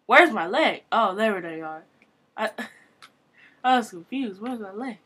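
A woman giving casual commentary on her own gameplay, thinking aloud with quick exclamations, at a desk mic at her computer. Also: medium pitch, animated, bright, forceful tone, husky. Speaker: gamer recording her play.

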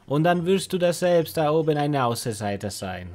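A man playing a scheming, sentimental Italian inmate in an audio drama parody, with an Italian accent, halting broken grammar and sprinkled Italian words. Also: fairly high pitch, expressive, a little mellow, close to an everyday voice. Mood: wistful, dramatic.

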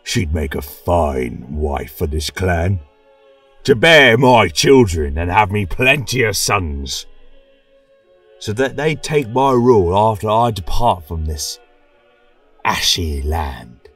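A man's voice: deep voice, big pitch swings, takes it slowly, a bit bright, breathy edge, recorded from a distance, soft background music, exaggerated voice. A man voicing a brutal, possessive barbarian warlord, domineering and menacing, in a storytelling podcast.

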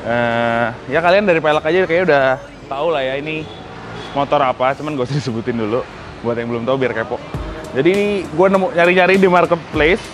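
A young man from Indonesia hosting a casual motorbike unboxing vlog, talking in a chatty, excitable way in an outdoor garage yard. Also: a little high. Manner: chatty, excitable, swears and laughs. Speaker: motovlog host.

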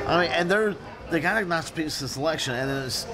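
A man in his thirties reviewing a restaurant in casual, rambling, emphatic vlog talk, filmed on a handheld camera in a restaurant booth. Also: on the high side. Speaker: food vlogger. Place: busy restaurant booth indoors. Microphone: handheld camera at arm's length. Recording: loud restaurant music and crowd. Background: loud music and crowd chatter.

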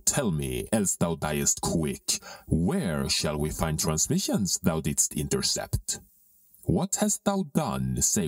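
A menacing, commanding dark lord in a Shakespearean audio drama. His voice is extremely low, swings dramatically in pitch and takes its time over each archaic line, set a little back from the mic. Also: vocal fry, no room sound, studio quality, recorded from a distance, exaggerated voice. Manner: slow, declamatory, archaic verse delivery.

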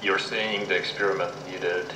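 A man's voice: low-pitched, little pitch movement, moderate pace, lightly acted. An amateur actor playing a stern male interrogator in a game mod, asking formal, probing questions. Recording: echoey room, clear background machine noise.

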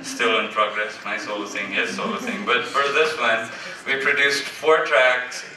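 A man's voice: medium pitch, normal speed. A man on a festival panel, talking casually and joking with the audience, recorded from far off in a large hall. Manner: relaxed, joking, trailing off. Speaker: panelist musician. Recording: echoey hall from far off, noisy.

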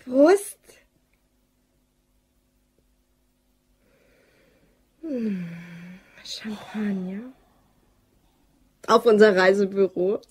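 A woman's voice: medium pitch, animated, extremely slow, a little mellow, slight background noise. An actress playing a self-assured, scheming female office worker in a crime radio drama, with a dry, bossy manner. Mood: smug.

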